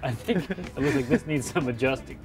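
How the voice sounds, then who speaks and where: A man's voice: medium pitch, normal speed, soft background music. A man building a grill in a backyard, bantering playfully. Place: backyard outdoors.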